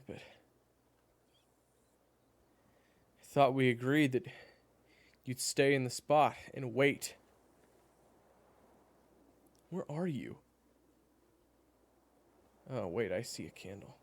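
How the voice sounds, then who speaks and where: A man playing a worried, exasperated friend in an audio roleplay, speaking haltingly and naturalistically. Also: mid-range voice, expressive, no room sound. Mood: urgent, calling out. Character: worried, exasperated male friend of an amnesiac, gently explaining. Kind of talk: audio roleplay acting, one-sided dialogue.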